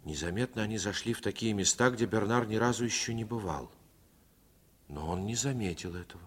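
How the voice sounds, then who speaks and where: Deep-voiced radio play narrator. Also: male voice, fairly quick, a bit bright, husky, big-room sound. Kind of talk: scripted narration.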